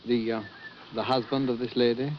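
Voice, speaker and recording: Man as a suave, quietly threatening spy in an old radio drama, muffled recording with faint hiss. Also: medium pitch, little pitch movement, normal speed, lightly acted. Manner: suave, coolly polite and menacing. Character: smooth armed enemy agent posing as intelligence officer. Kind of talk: 1940s radio acting.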